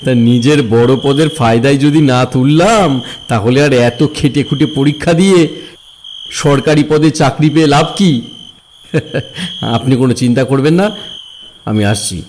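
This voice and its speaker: A man playing a greedy, self-serving government officer in an animated cartoon, expressive and full of exclamations. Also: medium pitch, moderate pace, pauses often, slight background noise, natural voice, poor audio. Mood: smug. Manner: expressive, exclaiming, talks to himself. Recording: music bed behind the voice.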